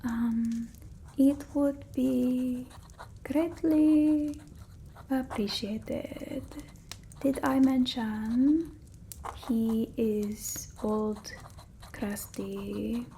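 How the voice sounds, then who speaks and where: A haughty yet fond vampire mistress, acted out by a woman, murmurs in a high, soft voice. She is formal and politely imperious, drawing her words out slowly and often dropping to a breathy whisper in an ASMR roleplay. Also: a little hiss or hum, rough recording. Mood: scornful, musing.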